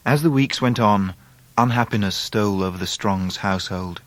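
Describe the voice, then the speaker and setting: An actor playing a reflective grown man who narrates his own life in a literary radio drama, with an English accent and measured delivery. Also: fairly low pitch, dry recording, natural voice.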